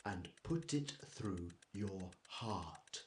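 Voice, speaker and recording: A man's voice: deep voice, expressive, drawn-out words, roomy sound, full character voice. An actor playing a coldly relentless inhuman intruder in a man's shape, giving commanding, repetitive orders in an audio drama. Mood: menacing, insistent.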